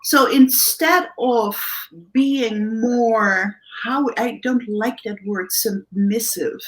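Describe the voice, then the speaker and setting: A woman from the Netherlands with a Dutch accent, a guest coach giving animated interview answers with many restarts and tag questions. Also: a little high, very slow, poor audio. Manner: animated, thinks aloud with many restarts and "right?".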